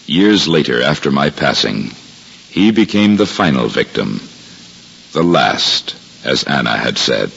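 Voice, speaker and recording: An actor playing a grave, devout old man narrating sacred memories in solemn, measured 1950s radio drama style. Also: a bass voice, sing-song, gravelly, a bit bright, husky, exaggerated voice. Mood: grave, reverent. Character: devout elderly male narrator recalling sacred events, grave. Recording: dull 1950s radio recording, music behind.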